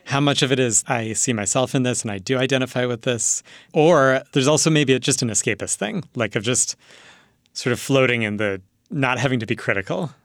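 A man interviewing in a casual, conversational way, thinking his questions out loud. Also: mid-range voice, fairly quick, no echo, studio quality.

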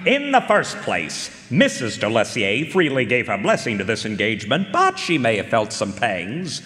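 A man's voice: medium pitch, wildly swooping pitch, fairly bright tone. A man playing a confident, polite and wry detective in a live radio mystery, with formal, teasing delivery. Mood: insinuating. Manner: formal, teasing, deliberate build-up. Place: live performance hall. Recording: reverberant stage in a large hall.

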